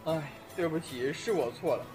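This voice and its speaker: A woman's voice: deep voice, normal speed, darkish tone, close to an everyday voice, low-quality recording. A woman acting an exasperated, dramatic teenage classmate in an audio drama, scolding theatrically. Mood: mock suffering. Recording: music playing behind.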